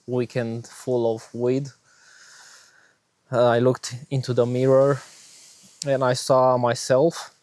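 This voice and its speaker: Young Romanian-accented man, monotone, unhurried, dry close sound, halting delivery full of fillers. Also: medium pitch, no echo. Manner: hesitant, many fillers and restarts. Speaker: vlogger. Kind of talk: personal testimony vlog. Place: outdoors on a windy day.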